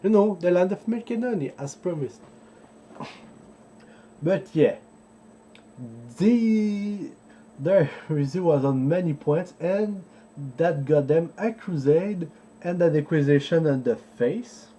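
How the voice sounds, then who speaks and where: A man, an English speaker, hosting an informal history explainer in a halting, digressive way as he searches for words. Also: on the high side, warm tone, slight background noise. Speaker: host of a history video series. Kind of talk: informal explainer with live translation from a book.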